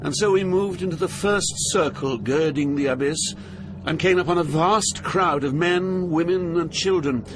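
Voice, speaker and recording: A man's voice: fairly high pitch, normal speed, natural voice. An actor playing an awed, troubled poet who narrates his journey through hell with earnest drama. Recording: noisy atmospheric background.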